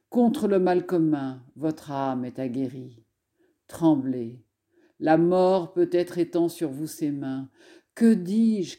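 A woman scholar reading poetry aloud in a solemn, measured, declamatory recitation. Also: low voice, dark tone.

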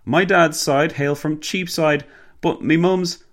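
A man's voice: on the high side, fairly even intonation, slow pace, voice pushed up. A man voicing a cheeky British cab driver with a Cockney accent, chatty and matey. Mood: chummy, cheeky.

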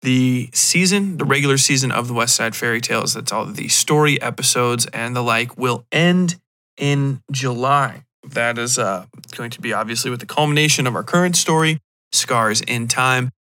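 A man's voice: mid-range voice, moderate pace, pushed and bright. A man hosting a solo podcast in a casual, rambling and self-deprecating way, often wandering off on digressions. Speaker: podcast host.

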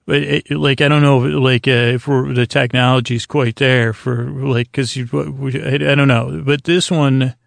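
Male podcast host for sleep listening: heavy vocal fry, dry close sound, wandering, stop-start thoughts. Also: medium pitch, level tone, no echo. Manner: halting and digressive, trailing off and circling back. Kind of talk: rambling, meandering bedtime monologue.

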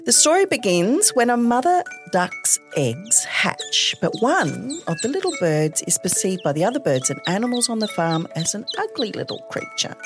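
A woman's voice: mid-range voice, sing-song, moderate pace, few pauses, over music. A woman hosting a podcast interview, effusive and gushing with praise. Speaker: podcast host. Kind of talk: podcast interview, reading intro script.

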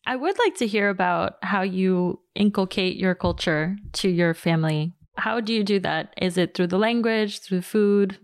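Woman podcast host, medium-pitched voice. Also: normal speed, hardly any pauses, smooth. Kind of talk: conversational interview questions.

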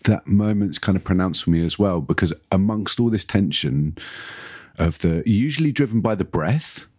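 A man's voice: low voice, expressive, moderate pace, a little mellow, no echo, clean studio sound. A man in a casual, analytical podcast conversation, speaking reflectively and searching for his words as he explains. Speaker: podcast discussant, audio storyteller.

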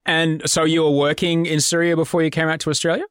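A man's voice: fairly high pitch, little pitch movement, quick pace, fairly bright tone, clear, resonant tone, no echo, clean studio sound. A man hosting an interview, asking questions in an appreciative way. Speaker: podcast host. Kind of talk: interview conversation.

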